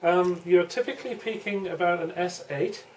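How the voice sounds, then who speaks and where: A woman's voice: lowish voice, normal speed, darkish tone. A woman talks in a casual, conversational way as a ham radio operator. Her voice comes over a shortwave transmission, sounding far off, with a light layer of static. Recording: distant radio link with faint static.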